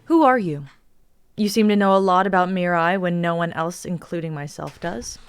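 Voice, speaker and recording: A woman's voice: on the low side, normal speed, clean tone, close to an everyday voice. A woman reading the part of a tough, threatening female ship captain in a live dramatic reading, commanding and mocking.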